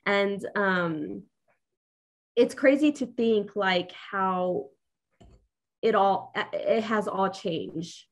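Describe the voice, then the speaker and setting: A woman's voice: mid-range voice, very slow, pauses often. A young woman co-hosting a podcast in casual chat, easygoing and often agreeing with short replies. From Mexican heritage. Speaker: podcast co-host.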